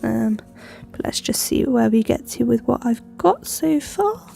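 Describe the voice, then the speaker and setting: A woman's voice: on the high side, animated, unhurried, vocal fry, warm tone, faint music behind. A young woman with a stuffy cold, chatting and rambling with lots of ums as she decorates her planner. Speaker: planner vlogger. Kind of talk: chatty plan-with-me narration.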